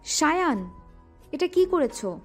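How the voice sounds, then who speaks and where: A woman's voice: high-pitched, big pitch swings, takes it slowly, exaggerated voice. A female voice actor playing a shy, teasing young wife in an audio romance drama. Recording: music bed underneath.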